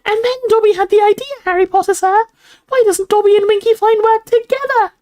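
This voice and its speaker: A woman voicing an eager, servile little male house elf in a storybook, with a squeaky, shrill voice and broken grammar, speaking of himself in the third person. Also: brisk, darkish tone. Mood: excited, proud.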